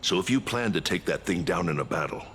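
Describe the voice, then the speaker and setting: Game role: gruff veteran warrior, bottom-register voice, wary, commanding and sardonic. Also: male voice, low-pitched, expressive, fast talker, fairly bright tone, slight background noise. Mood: stern. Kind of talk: video game voice acting.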